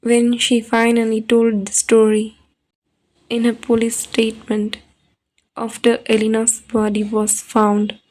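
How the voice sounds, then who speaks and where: A woman playing a solemn, ominous storyteller, narrating scripted horror in a deliberate, flat way. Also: fairly high pitch, poor audio.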